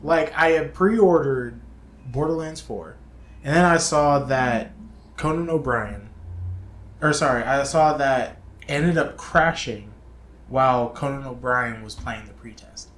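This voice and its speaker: In an echoey room, a man co-hosts a casual chat about gaming. He speaks slowly at a medium pitch, with the eagerness of a fan. A faint noise sits behind his voice. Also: a bit bright. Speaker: co-host of a video game talk show. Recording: roomy echo with background hiss.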